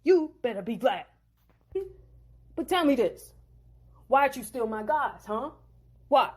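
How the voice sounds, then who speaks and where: A woman doing a ranting, high-pitched angry father-in-law. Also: expressive, takes it slowly, a little mellow, slightly rough, full character voice. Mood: indignant ranting. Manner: slangy, ranting, possessive. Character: angry scheming older man, a father-in-law.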